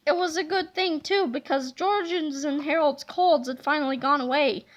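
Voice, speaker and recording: A woman reading a children's chapter book aloud with expressive storytelling. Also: high voice, moderate pace, rough recording. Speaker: read-aloud narrator.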